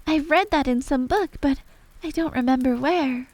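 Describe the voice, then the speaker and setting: Young storybook girl, played by a woman: polite, prim, earnest, pitched high and light. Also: moderate pace, darkish tone, dry recording, lightly acted. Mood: matter-of-fact.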